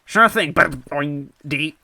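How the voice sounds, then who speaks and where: A bright, creaky-voiced little robot waiter played by a male story reader, pitched well above a normal male register. He is cheerful and bouncy, chirping "boing" between phrases like a storybook cartoon sidekick. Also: takes it slowly, dry recording, exaggerated voice. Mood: chirpy, eager. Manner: chirpy, punctuates talk with "boing".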